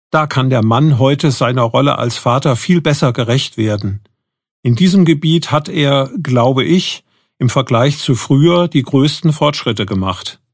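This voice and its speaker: A man's voice: medium pitch, no echo, clean studio sound. A man playing a forty-year-old engineer. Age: 40s. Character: male electrical engineer of forty, optimistic guest. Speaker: studio guest. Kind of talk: scripted exam dialogue.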